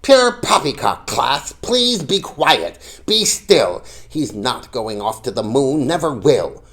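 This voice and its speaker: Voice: mid-range voice, big pitch swings, slow, a bit bright, slightly rough, exaggerated voice. A reader voicing a stern, pompous middle-aged male schoolteacher in a storybook, haughty and scolding with emphatic commands. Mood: exasperated.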